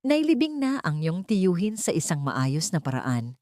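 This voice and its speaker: A woman voicing a calm, protective male prosecutor who speaks gently in an audio drama story. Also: on the low side, moderate pace, no echo, studio quality. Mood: steady, earnest.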